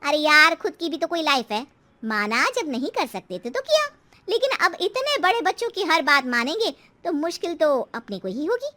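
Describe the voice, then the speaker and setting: A woman's voice: high voice, sing-song, normal speed, sharp tone, full character voice. A woman voicing a frank, chatty neighbour, a mother, outspoken and full of advice in a moral story. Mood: frank advising. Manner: advising, outspoken.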